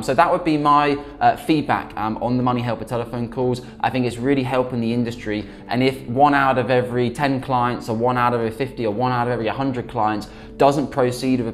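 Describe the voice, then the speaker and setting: A man in his thirties giving a conversational, emphatic explanation to camera as a financial adviser, on a lapel mic. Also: mid-range voice. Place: small quiet office, indoors.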